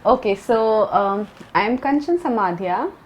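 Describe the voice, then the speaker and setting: A woman's voice: a little high, animated, a little slow. A woman from India, a visiting doctoral scholar, introducing herself in a casual way.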